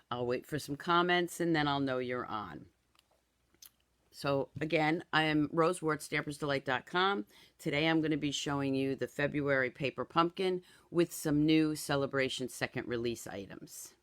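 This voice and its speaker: Raspy woman with a cold. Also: sits low, normal speed, a bit bright. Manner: chatty, greets viewers by name. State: hoarse from a cold. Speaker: craft product demonstrator. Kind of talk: live-streamed craft demo. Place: indoor home craft room. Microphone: camera in front, then overhead camera.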